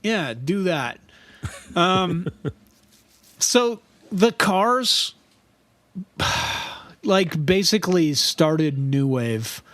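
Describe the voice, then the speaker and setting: A man with a high voice, co-hosting a podcast in a casual chat and telling stories with lots of laughter. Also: drawn-out words, long pauses. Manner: animated, laughs often, trails off and restarts. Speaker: podcast co-host.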